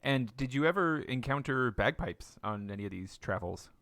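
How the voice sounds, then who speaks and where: A man's voice: medium pitch, lively intonation, normal speed, a bit bright. A man hosting a podcast who asks curious questions and slips in jokes. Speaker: podcast host. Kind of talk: casual interview chat.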